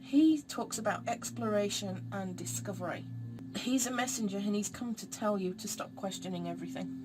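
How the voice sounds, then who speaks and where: A woman, a bit throaty and croaky, giving a chatty, encouraging monthly tarot reading straight to her viewers. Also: mid-range voice, rough recording. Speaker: tarot reader.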